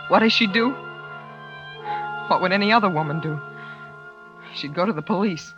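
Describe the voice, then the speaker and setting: An actress playing a frightened, desperate wife in an old-time radio suspense drama, hesitant and pleading. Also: female voice, fairly low pitch, normal speed, close to an everyday voice. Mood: bitter, shaken. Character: frightened, desperate wife who narrates her own peril. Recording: dull vintage radio recording with music.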